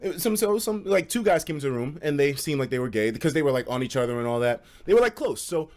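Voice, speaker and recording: A man streaming casual, animated stories to a live chat, with slangy, profane phrasing and dramatic emphasis. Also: on the high side, fast. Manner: profane, slangy, acts out quoted dialogue; dramatic emphasis and repetition for suspense.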